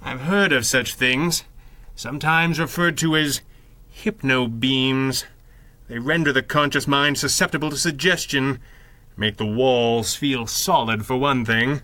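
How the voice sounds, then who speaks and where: An actor playing a suave, coolly superior masked crimefighter with precise, condescending delivery in a 1930s-style radio serial. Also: male voice, medium pitch, a little slow, bright, forceful tone, no echo. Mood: coolly explanatory.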